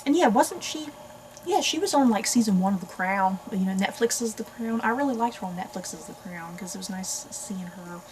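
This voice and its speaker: A woman's voice: mid-range voice, expressive, normal speed, darkish tone, a little hiss or hum, rough recording. An American woman hosting a book channel, chatting casually and expressively to viewers as she reads synopses aloud. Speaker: book review channel host.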